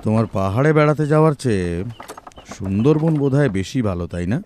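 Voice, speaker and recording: Gentleman detective, acted by a man: shrewd, composed, gently teasing, dark mellow voice, audio drama. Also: mid-range voice, animated, brisk, close to an everyday voice. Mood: genial teasing.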